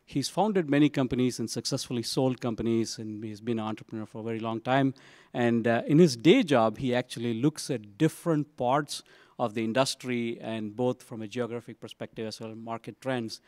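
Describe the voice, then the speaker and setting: A man moderating a business panel at a conference, introducing the speakers to the audience in a relaxed, halting way with many fillers. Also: mid-range voice, no echo, studio quality. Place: conference session room.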